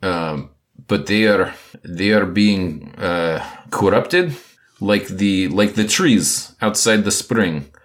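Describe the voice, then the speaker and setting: A man voicing a male villager who translates for another figure, speaking halting, broken English and dropping small words. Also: low-pitched, animated. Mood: earnest.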